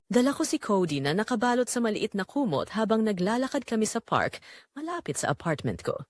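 A woman narrating a scripted first-person romance story in an expressive, sentimental storytelling manner. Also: medium pitch, brisk, no echo.

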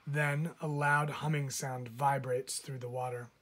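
A man reading a children's picture book aloud like a teacher, with expressive emphasis. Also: mid-range voice, measured pace, slight background noise. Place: indoors, book filmed from above.

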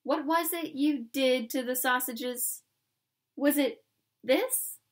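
A woman's voice: high-pitched, takes it slowly. A woman voicing a fearless little girl who mocks sweetly. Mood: teasing. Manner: sweetly mocking. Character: cheeky, fearless little girl, strong and playful.

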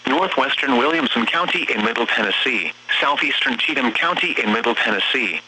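A synthetic text-to-speech man's voice reading formal, measured emergency weather bulletins as an automated announcer over the radio. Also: medium pitch, bright, forceful tone, a little hiss or hum, poor audio. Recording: buzzy, humming weather radio broadcast.

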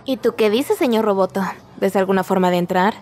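An impatient, feisty young woman from an adventure game fan dub, voiced by a woman. She speaks at a medium pitch with a Latin American accent, over faint noise. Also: fairly quick, darkish tone, lightly acted. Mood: impatient, curious.

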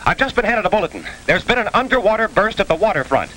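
A man's voice: sits high, very animated, quick pace, bright, forceful tone, heavily acted. A man with an American accent playing an urgent, official civil defense radio announcer in a 1950s film. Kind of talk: 1950s emergency radio bulletin. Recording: noisy old 1950s film soundtrack.

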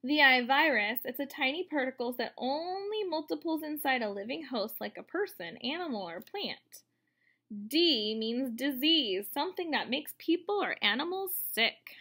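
A woman in her thirties reading a picture book aloud to children, explaining as she goes in an expressive way. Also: sits high, normal speed. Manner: expressive, explanatory, addressing young listeners. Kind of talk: read-aloud storytelling for children with asides. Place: room at home, indoors.

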